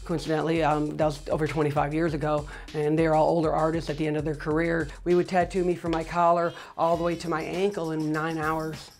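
A middle-aged man with a high voice, speaking matter-of-factly in an interview, with frequent fillers. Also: monotone, brisk, gravelly, few pauses, faint music behind. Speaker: record holder. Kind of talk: interview monologue. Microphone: lapel.

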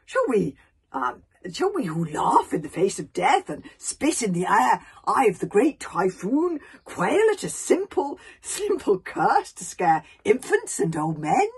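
A woman doing a booming, blustering Viking chief. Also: wildly swooping pitch, a little slow, slightly rough, heavily acted. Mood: rousing. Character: huge bellowing male Viking chief, blustering.

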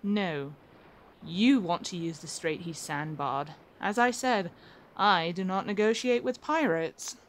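A woman's voice: on the low side, slow, slight background noise. A woman playing an assertive, wry captive pirate admiral in an ASMR roleplay, with a formal, theatrical, teasing delivery. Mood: defiant.

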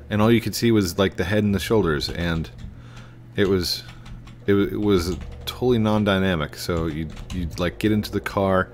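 A man's voice: deep voice, a little hiss or hum. A middle-aged American man giving casual, playful gameplay commentary, rambling to himself and his viewers. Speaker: gaming video host. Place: indoors at a desk, webcam stream setup.

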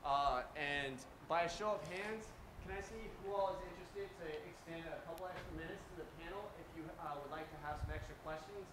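A man organizing an event, addressing the audience loudly and directly. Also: fairly high pitch, a little fast, hardly any pauses, noisy background. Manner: loud, direct. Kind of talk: announcing to an audience. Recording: echoey hall, far from the mic.

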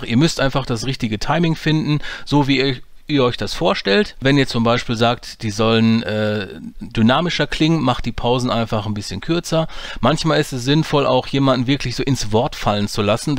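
A man's voice: medium pitch, no echo. Casting: man, dry close voice, casual tutorial explainer with fillers. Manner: relaxed, explanatory, many fillers. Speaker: audio drama producer teaching editing. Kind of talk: screen-recorded software tutorial.

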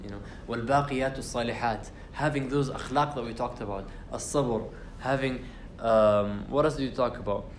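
A man's voice: mid-range voice, steady tone, very fast, hardly any pauses, echoey room, slight background noise. A young man giving an informal class on the Quran, talking casually with fillers and restarts and reciting Arabic verses. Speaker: Quran study teacher. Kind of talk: informal tafsir class talk. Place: university lecture room.

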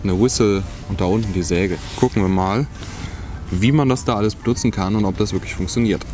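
Man, outdoor gear review, low voice, thinking out loud, music in background. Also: fairly quick. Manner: musing, talks while handling items. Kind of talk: casual hands-on product review. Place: outdoors on grass by a log. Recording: music playing underneath.

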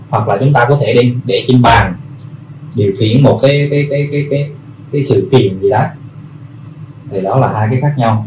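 A man presenting products in an unscripted demonstration, explaining in a halting, repetitive way as he searches for words. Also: mid-range voice, darkish tone. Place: indoor room full of audio equipment. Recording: roomy, distant mic, faint background noise.

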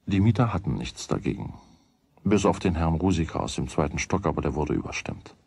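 A middle-aged man narrating a children's radio play as a calm storyteller. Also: very deep voice, brisk, vocal fry, breathy edge, no echo, exaggerated voice. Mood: calm narration.

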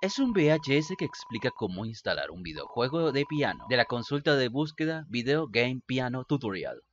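A woman reading as the deadpan, explanatory narrator of a quirky video game fan dub. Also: rumbling low, moderate pace, muffled recording, slight background noise.

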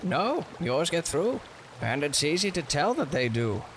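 An amateur fan dubber playing a calm, cryptic young man who quietly advises a girl in an anime dub, speaking in a measured, matter-of-fact way. His tone stays level and unhurried. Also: a little high. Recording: noisy home recording.

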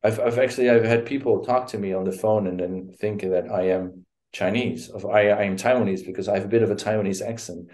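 Middle-aged man, Dutch accent, video-call guest; low, mellow, halting delivery. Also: fairly even intonation. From Netherlands. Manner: hesitant, many fillers and restarts. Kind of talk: casual interview. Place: home room.

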